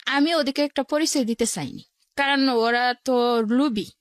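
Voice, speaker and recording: A woman's voice: high voice, normal speed, dry recording, studio quality. A woman with a Bangladeshi accent telling her own life story as an interview guest, in plain colloquial words, step by step.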